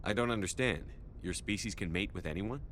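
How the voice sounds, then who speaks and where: An actor playing a composed, reassuring starship commander in a video game, speaking in a direct, plain way. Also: male voice, lowish voice, fairly quick, fairly bright tone, slight background noise, lightly acted. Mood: calm inquiring. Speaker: ship's commander.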